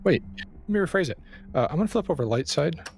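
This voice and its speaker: A man's voice: a little high, lively intonation, fast, vocal fry, slight background noise. A man taking part in a tabletop roleplaying game, talking casually as a player.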